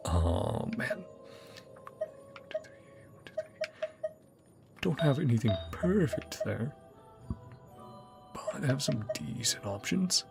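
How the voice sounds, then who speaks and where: Brief: easygoing male game streamer, gravel in the voice, lively pitch, game music underneath. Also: slow, long pauses. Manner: laid-back, chuckling, reads game text aloud. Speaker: gaming streamer. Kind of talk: casual let's-play commentary.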